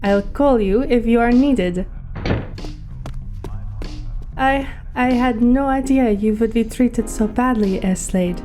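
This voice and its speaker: A woman playing a gentle, earnest young woman in a motion comic, hesitant and polite. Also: on the high side, a little slow, soft background music, lightly acted. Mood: anxious, earnest. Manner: soft-hearted, hesitant, polite.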